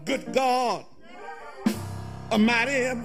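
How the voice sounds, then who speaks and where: A man preaching a fervent, musical sermon in a raspy, gravelly voice, in short, emphatic, repeated phrases that slide toward singing and shouting. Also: extremely high pitch, music in the background. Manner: emphatic repetition in short, drawn-out phrases with calls to the congregation.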